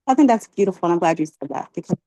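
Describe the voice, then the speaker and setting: A woman leading a religious class, asking catechism questions and encouraging her students, often pausing with ums. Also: lowish voice, animated, mellow, no echo. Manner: encouraging, halting with many ums.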